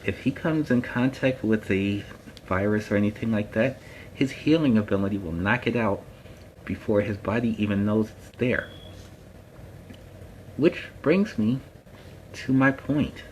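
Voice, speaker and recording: Guy doing a friendly superhero mentor, lowish voice, plainspoken n earnest storytime host, bit of background noise. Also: a little mellow, close to an everyday voice, low-quality recording. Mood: earnest, cautioning. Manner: earnest, plainspoken, deliberate emphatic phrasing. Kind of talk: casual instructive talk to viewers.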